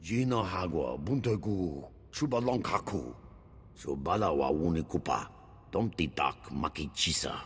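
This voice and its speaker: An actor voicing a gruff alien prisoner in a video game, speaking emphatically in an invented alien tongue. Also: male voice, low-pitched, lively intonation, a little slow, exaggerated voice. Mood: grave. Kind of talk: video game cutscene acting. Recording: long hall reverb, music behind.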